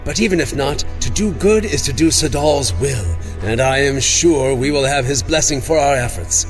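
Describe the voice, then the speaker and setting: A man's voice: medium pitch, moderate pace, soft background music, pitched above the performer's own voice, lightly acted. A man voicing an eager, earnest and devout male cleric in a fantasy audio drama. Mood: earnest, hopeful. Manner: animated, earnest, exclamatory.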